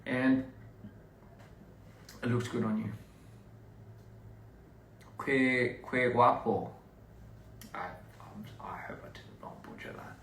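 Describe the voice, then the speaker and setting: A man's voice: medium pitch, slow, long pauses, slight background noise. A young man from Australia, chatting casually and rambling with fans on a live stream. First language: English. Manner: relaxed, rambling, laughing between lines. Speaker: livestreaming artist. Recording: echoey room, distant phone mic.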